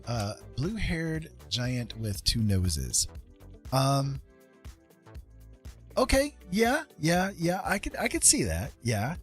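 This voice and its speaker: A man's voice: medium pitch, slightly rough. A man casually streaming a digital painting tutorial, rambling and thinking aloud as he works.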